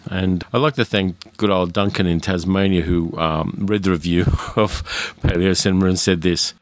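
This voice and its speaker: Deep-voiced Australian man hosting a podcast. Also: steady tone, normal speed, no room sound. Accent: educated Australian. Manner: frank, chatty, with fillers and restarts. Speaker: podcast host. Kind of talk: casual solo podcast monologue.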